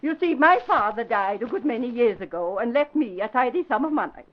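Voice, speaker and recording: An actress playing a blunt, feisty older spinster with a folksy, tart manner in an old-time radio drama. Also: female voice, fairly high pitch, expressive, brisk, lightly acted. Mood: indignant, tart. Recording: dull vintage radio recording.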